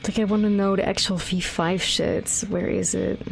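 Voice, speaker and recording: A woman's voice: medium pitch, flat delivery, normal speed, creaky, faint music behind. Swearing woman streamer. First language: Dutch. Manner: rambling, swearing, exasperated. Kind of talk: casual gameplay chat.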